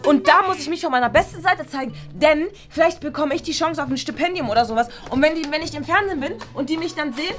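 A woman voicing a vain, excitable schoolgirl who talks in quick, indignant bursts in a toy play series. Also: sits high, soft background music. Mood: excited.

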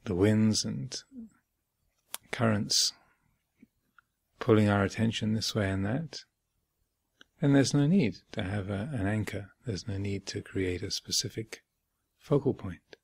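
A low-voiced man leads a guided meditation at a very slow pace. His voice has a gravelly creak, and he offers each short phrase in a measured way, leaving long pauses before the next. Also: dry recording. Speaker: meditation teacher. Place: retreat center, close dry recording.

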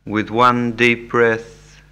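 A man leading a guided meditation as an instructor, speaking in measured, soothing short phrases with long pauses. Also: mid-range voice, little pitch movement, pushed and bright, smooth. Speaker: yoga nidra meditation instructor. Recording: background music under the voice. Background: music.

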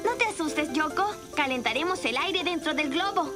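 Friendly, high-voiced young cartoon rabbit. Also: female voice, expressive, normal speed. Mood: patient, explaining. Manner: clear explaining, encouraging. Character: friendly young cartoon rabbit, helpful, patient little teacher. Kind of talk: children's cartoon dubbing. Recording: music under the voice.

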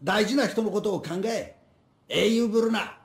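An older actor playing a stern yakuza-style bank robber in a game, curt and commanding, with a Kansai accent. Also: male voice, high-pitched, quick pace. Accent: Kansai Japanese. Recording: roomy echo.

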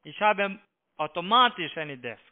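A man with a foreign accent, a non-native speaker giving instructions on a phone call in halting, repetitive, broken phrases. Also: high voice, lively intonation. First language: not German. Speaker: phone scam call-centre agent. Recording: tinny, band-limited phone line.

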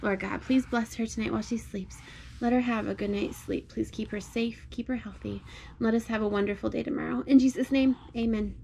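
A woman's voice: on the high side, fairly even intonation, a little fast, creaky, mellow, a little hiss or hum. A woman, a mother, reading a picture book aloud at home and prompting a small child with simple questions. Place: home bedroom, indoors.

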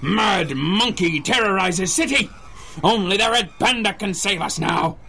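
An actor playing a mad, gleeful, theatrical supervillain in a radio drama, grandiose and given to gloating and cackling. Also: male voice, high-pitched, animated, a little slow, vocal fry, bright, forceful tone, breathy edge, heavily acted. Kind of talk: 1930s-style radio superhero drama acting.

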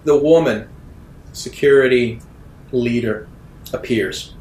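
Slow-paced man narrating in a roomy space. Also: mid-range voice, darkish tone, slight background noise. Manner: deliberate, dramatic pauses. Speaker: game master. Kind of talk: narrating a tabletop role-playing game.

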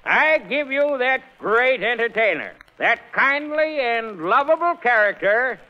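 Wali kota resmi bernada tinggi. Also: male voice, extremely high pitch, lively intonation, unhurried. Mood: ceremonious. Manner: oratorical, official. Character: formal, flustered small-town mayor. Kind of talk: 1930s radio acting. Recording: dull vintage radio recording.

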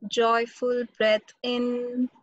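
A woman with an Indian accent leads a guided meditation as a teacher, giving slow instructions with long pauses between them. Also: on the high side, little pitch movement, dry recording. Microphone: video call. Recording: dull, muffled webinar audio.

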